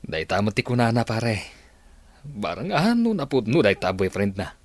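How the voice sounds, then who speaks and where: A man's voice: lowish voice, big pitch swings, moderate pace. A man playing a concerned middle-aged village father in a radio drama, with a Filipino accent. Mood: chatty, animated. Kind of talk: Ilocano radio drama acting.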